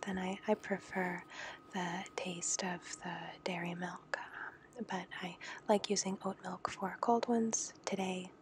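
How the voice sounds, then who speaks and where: Woman, whispered ASMR kitchen tutorial, slow gentle delivery, noticeable background noise. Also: medium pitch. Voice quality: soft unvoiced whisper. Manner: gentle, unhurried, explains each step. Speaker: home coffee maker demonstrating. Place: home kitchen, indoors.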